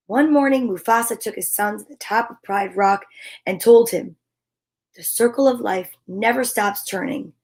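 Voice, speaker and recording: A woman hosting a story time, reading a children's tale dramatically with comic asides in a theatrical manner. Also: high voice. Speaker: host and storyteller.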